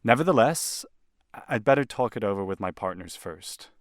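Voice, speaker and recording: A man's voice: fairly low pitch, lively intonation, normal speed, dry recording, clean studio sound, natural voice. A man playing an earnest, conscientious alien property buyer in an audio play, with a hesitant, deliberating delivery. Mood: pondering.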